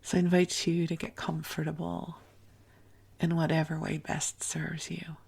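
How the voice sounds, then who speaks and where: Slow, low-pitched, creaky soothing woman. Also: little pitch movement. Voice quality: soft, hushed, breathy at phrase ends. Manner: deliberately slow, gentle, soothing delivery. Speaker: sleep podcast host and spiritual teacher. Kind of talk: slow, soothing, rambling sleep podcast talk.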